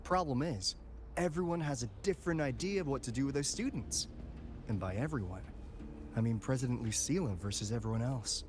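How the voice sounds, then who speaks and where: A man's voice: medium pitch, expressive, brisk, natural voice. A breezy male video game character, voiced by an actor, wry and teasing as he talks shop. His delivery swings up and down with lots of expression, and music plays underneath. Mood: wry, candid. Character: breezy, wry adult male academy insider briefing an auditor.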